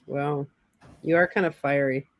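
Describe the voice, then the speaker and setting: A woman's voice: low-pitched, fairly even intonation, unhurried, no echo. Woman hosting a livestream chat. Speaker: livestream host. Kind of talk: casual group chat.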